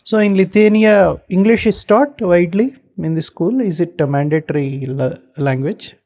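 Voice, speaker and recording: Podcast interviewer, mellow tone, asks short questions. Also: mid-range voice, normal speed. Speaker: podcast host.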